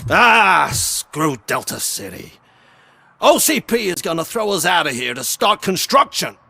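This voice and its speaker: Raspy, sharp, surly city local. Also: male voice, sing-song, unhurried, dry recording, exaggerated voice. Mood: scornful. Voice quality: raspy, gravelly, high. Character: surly, cynical male city resident. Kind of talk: video game acting.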